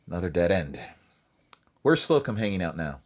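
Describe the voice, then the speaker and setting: An actor playing a terse police sergeant who narrates his case in a flat, matter-of-fact way, in 1940s radio acting style. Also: deep voice, moderate pace, no echo, lo-fi sound. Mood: briefing.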